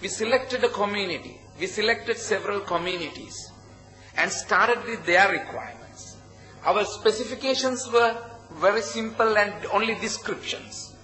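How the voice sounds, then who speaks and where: High, bright-voiced Sri Lankan man presenting. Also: normal speed, soft background music, poor audio. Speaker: conference presenter, project founder. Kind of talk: conference presentation. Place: conference hall at a hotel.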